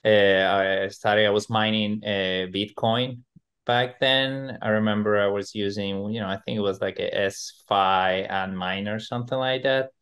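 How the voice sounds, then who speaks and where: A man's voice: on the low side, fairly even intonation, measured pace, fairly bright tone, clean tone. A man from Venezuela, a podcast guest who rambles with lots of fillers and restarts. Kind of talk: casual podcast interview.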